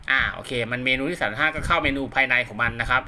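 A man's voice: mid-range voice, rapid-fire, pushed and bright. A man talks viewers through steps in a casual, conversational, polite way, often repeating himself. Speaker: host of radio equipment tutorial. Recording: clearly noisy home-made recording with clicks.

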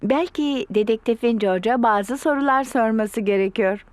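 A woman voicing a gentle, encouraging mummy pig in a children's cartoon dub, soothing and motherly. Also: fairly high pitch, normal speed, dry recording, close to an everyday voice.

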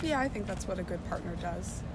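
A young woman answering a street interview outdoors, giggly and lively. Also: fairly low pitch, brisk. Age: young adult. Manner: laughing, lively. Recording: outdoor noise behind.

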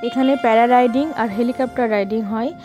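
A chatty woman in her thirties talks straight into a phone held at arm's length, quick and fairly high-pitched. Music plays underneath her voice throughout. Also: steady tone. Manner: chatty, tired and complaining in the morning. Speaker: travel vlogger. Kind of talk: casual travel vlog narration. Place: hotel room, then outdoors on a trip.

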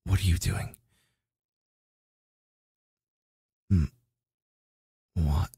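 A man voicing a flustered, brooding but tender young warrior in a romantic roleplay ASMR, husky and halting, close to the microphone. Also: sits low, fairly even intonation, warm tone, no echo, exaggerated voice. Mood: flustered, wary. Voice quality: husky, breathy. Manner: halting, stammering, sighing.

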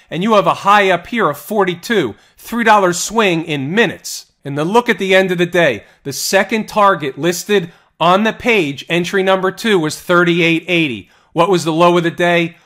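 Upbeat male trading coach, fairly high voice. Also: moderate pace, hardly any pauses. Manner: energetic, rhetorical questions, sports metaphors. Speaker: trading educator. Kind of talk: stock market chart analysis. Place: voice-over on screen recording.

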